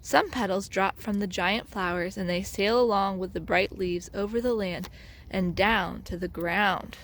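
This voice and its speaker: A woman reading a children's picture book aloud as a storyteller, in an expressive, deliberate way with dramatic emphasis. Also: medium pitch. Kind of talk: children's read-aloud narration.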